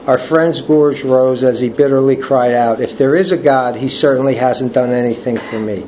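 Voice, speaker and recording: An older man leading a recovery study group, reading from the book and sharing personal testimony in a halting, earnest way with many restarts. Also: mid-range voice, steady tone, a little mellow, a little hiss or hum, rough recording. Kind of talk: casual talk reading from a book.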